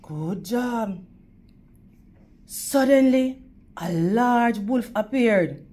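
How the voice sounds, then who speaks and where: A woman's voice: a little high, animated, extremely slow, warm tone. A woman reading a children's story aloud in a teacherly way, addressing young listeners directly. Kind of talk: animated storytime narration with questions to children.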